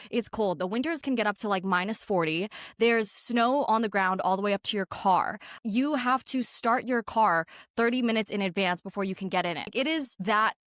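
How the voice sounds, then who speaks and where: A young woman from Canada tells a personal story on her podcast. She speaks candidly, with many "like" fillers, at a middle pitch. The recording is dry and close. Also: fairly bright tone, dry recording. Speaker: podcast host.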